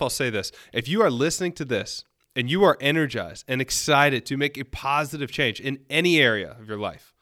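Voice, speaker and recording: A man's voice: mid-range voice, bright, forceful tone, dry recording, clean studio sound. A man hosting a solo podcast, talking in an upbeat, earnest and encouraging way while a little nasal from a cold. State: has a cold, a bit nasal. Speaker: podcast host. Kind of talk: solo motivational podcast talk.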